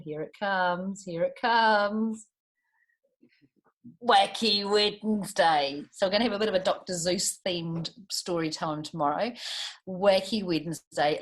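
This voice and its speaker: A woman with a New Zealand accent reading a picture book aloud to children, expressively, with chatty asides. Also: on the low side. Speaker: storytime reader for children.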